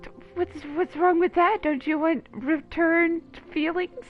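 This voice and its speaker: A woman's voice: extremely high pitch, steady tone, a little slow, lo-fi sound. A woman giving casual, playful and informal reactive commentary while playing a video game. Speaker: gamer commentating on a playthrough. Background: game music.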